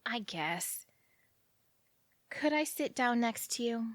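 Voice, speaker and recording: A woman's voice: on the high side, expressive, slow pace, dry recording. Fretful, lovelorn young woman, acted, dry recording. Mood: dejected, pleading. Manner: rambling, fretful self-questioning. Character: anxious lovelorn young woman waiting for her lover. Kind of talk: audio theatre acting.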